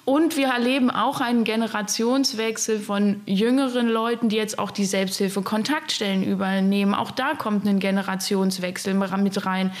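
A woman's voice: mid-range voice, steady tone, normal speed, few pauses. A woman giving conversational interview answers as a self-help organisation worker.

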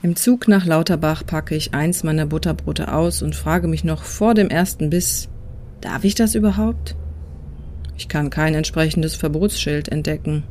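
A woman's voice: low-pitched, normal speed, a little mellow, a little hiss or hum. A woman narrating a scripted first-person travel account in a literary, descriptive storytelling manner, as host of a walking podcast.

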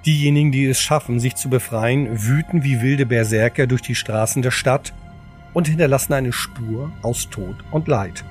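Music plays behind a man as he narrates an audio drama. He reads from a script in a mid-pitched voice, telling the story as its narrator. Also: normal speed. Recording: voice over background music.

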